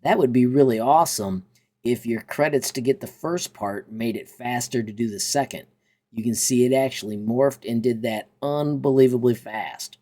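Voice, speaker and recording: An enthusiastic man hosts a casual software walkthrough. His voice sits at a middle pitch, and he talks through each step in an upbeat, informal way. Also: normal speed. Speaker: tutorial host. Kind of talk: casual software tutorial narration.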